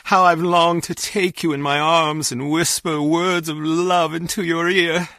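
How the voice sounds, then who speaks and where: An actor playing an earnest, excitable and awkward male character in a spy video game, with a dramatic delivery. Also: fairly high pitch, unhurried, no echo, studio quality. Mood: lovelorn.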